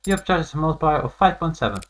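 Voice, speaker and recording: A man's voice: fairly high pitch, sing-song, rapid-fire, voice pushed up. A man voicing an earnest, naive, bookish female artificial intelligence in a video game, polite and literal, explaining things carefully. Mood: polite concern.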